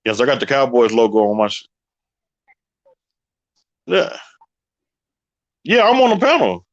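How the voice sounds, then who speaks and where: A man's voice: medium pitch, wildly swooping pitch, a little slow, no echo, highs cut off. A man from Texas with a Southern accent, talking laid-back and slangy as co-host of a live-stream chat.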